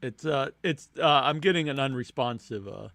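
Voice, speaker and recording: A man hosting a podcast in casual chat, informal and low-key, with a hesitant delivery. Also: lively intonation, moderate pace, creaky, a bit bright. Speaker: podcast host.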